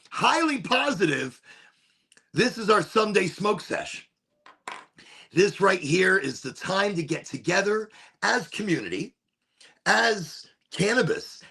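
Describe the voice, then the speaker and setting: A man hosts a relaxed livestream, his voice hoarse and worn from overuse. His tone sits a little high and crackles with a gravelly, husky grain. He rambles cheerfully, circling back and repeating himself as he chats. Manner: upbeat, rambling, repetitive. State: losing his voice. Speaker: livestream host. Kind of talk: casual livestream chat.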